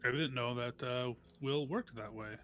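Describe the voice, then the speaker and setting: A man's voice: medium pitch, moderate pace, a bit bright. A man chatting casually as a player in a tabletop role-playing game.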